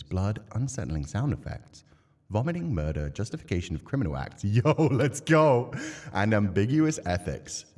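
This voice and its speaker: A man livestreaming a horror game, chatting casually and excitably and swearing freely. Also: sits low, dry recording, clean studio sound. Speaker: game streamer.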